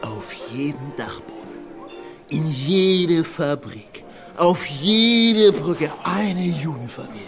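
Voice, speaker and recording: An actor playing a loud, mocking tavern regular who rants in a radio play. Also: male voice, on the high side, lively intonation, slow, faint music behind, exaggerated voice. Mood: ranting. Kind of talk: German radio play acting.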